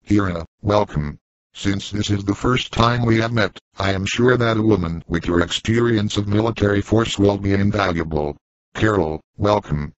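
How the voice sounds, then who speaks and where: A fairly low synthetic man voiced by a text-to-speech engine plays a stiff, formal robot hero. His mechanical voice has no room sound. The audio is dry and dull. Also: no echo, lightly acted. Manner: stiff, formal machine reading.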